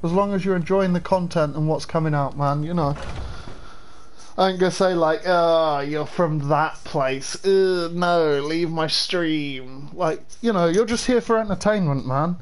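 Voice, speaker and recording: A man's voice: on the high side, measured pace, smooth. A young man casually talking through a livestream while playing, chatty and swearing at the game. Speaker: game streamer.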